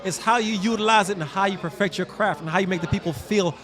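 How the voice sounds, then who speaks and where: A man's voice: high voice, quick pace, a crowd in the background. A man, a guest DJ talking animatedly and streetwise in a casual interview. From Trinidad. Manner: animated streetwise talk, keeps saying "you know what I mean". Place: outdoors.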